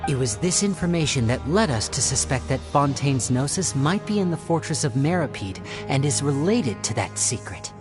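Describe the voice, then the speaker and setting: A low-voiced, composed young female agent. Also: very animated, moderate pace, hardly any pauses, music in the background. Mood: composed, businesslike. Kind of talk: video game voice acting.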